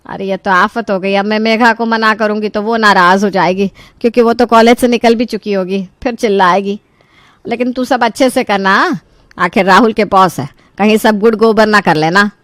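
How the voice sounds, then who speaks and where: A woman voicing a caring but fussy middle-aged mother-in-law in an animated story, chatty, fretful and motherly. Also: medium pitch, normal speed, no echo, close to an everyday voice. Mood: worried, nagging. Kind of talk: Hindi animated story voice acting.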